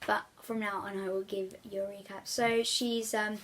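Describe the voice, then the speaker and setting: A woman reading a children's story aloud in an expressive storytelling style, as the reader addressing young listeners. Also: medium pitch, normal speed, a little hiss or hum.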